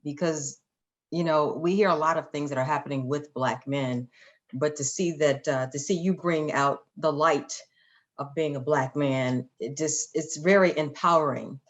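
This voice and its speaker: A woman's voice: sits low, fairly even intonation, normal speed. A woman hosting a casual, chatty live interview, playful and generous with praise. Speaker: radio interview host.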